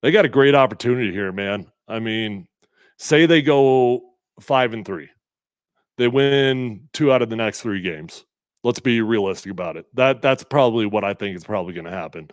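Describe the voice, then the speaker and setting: Congested man talking sports, smooth and low. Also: moderate pace, dry recording, studio quality. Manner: energetic, conversational, emphatic with fillers. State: a little congested. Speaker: sports radio host previewing a game. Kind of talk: casual solo sports talk with live comments.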